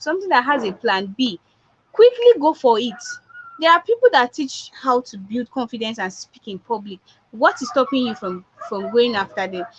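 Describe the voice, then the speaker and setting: A woman's voice: fairly high pitch, fairly bright tone, slight background noise. A woman with a Nigerian accent, a public speaking coach giving a motivational online talk and repeating her points for emphasis. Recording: dull lo-fi online audio.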